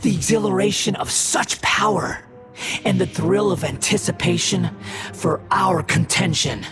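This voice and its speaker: A man's voice: sits high, measured pace, creaky, over music. A man playing an arrogant young puppet turned god, a mocking and theatrical video game villain. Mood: exalted, contemptuous. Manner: haughty, theatrical, contemptuous.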